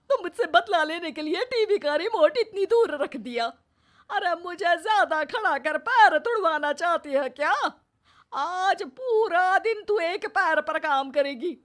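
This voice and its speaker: A very high, piercing voice for a cruel cartoon mother-in-law. She is bossy and scolding, shouting her orders and taunts like a household tyrant. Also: animated, measured pace, exaggerated voice. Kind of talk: exaggerated Hindi moral cartoon story.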